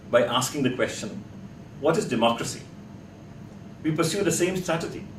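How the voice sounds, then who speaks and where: A man teaching a class in a deliberate, emphatic way, putting probing questions to his students. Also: mid-range voice. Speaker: teacher leading a workshop class. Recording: echoey, noisy room, distant mic.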